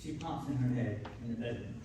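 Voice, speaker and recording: A man's voice: mid-range voice, fairly quick, warm tone, slight background noise. A man narrating a scripted live radio play on stage. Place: live stage at a comic convention. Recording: very echoey hall, far off, music behind. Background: music.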